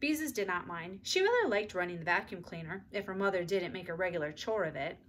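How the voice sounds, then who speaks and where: A middle-aged woman reading a children's book aloud in expressive storybook narration, recorded in a room at home. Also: fairly low pitch, fast talker, a little hiss or hum. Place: small indoor room at home.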